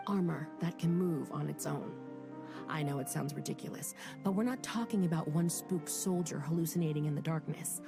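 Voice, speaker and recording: A woman voicing a loyal young female military officer who briefs her leader in a game cutscene, over game music. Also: lowish voice. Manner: brisk, respectful, wry. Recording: game audio with loud music.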